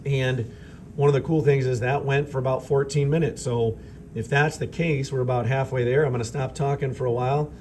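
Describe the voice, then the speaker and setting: A man with a slightly raspy voice explains a lab demonstration in an easygoing, thinking-aloud teacher's manner. His pitch sits in a middling range for a man. Faint fan noise hums behind him in a school lab. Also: steady tone, a little fast, a little mellow. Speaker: chemistry teacher. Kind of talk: informal lab demonstration narration. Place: school chemistry lab with vents. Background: ventilation fans running.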